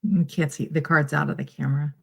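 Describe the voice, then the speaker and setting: A woman's voice: sits low, steady tone, fast talker, vocal fry, a bit bright, breathy edge. A woman guesting on a tarot live stream, chatting casually in an amused, teasing manner. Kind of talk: casual chat.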